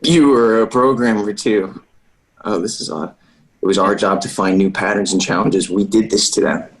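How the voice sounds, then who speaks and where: An actor playing an earnest, guilt-ridden young leader in a table read over a video call. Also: male voice, lowish voice, moderate pace, lightly acted, rough recording. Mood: grave, guilty. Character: earnest, guilt-ridden young man leading trapped boys. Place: remote video call reading.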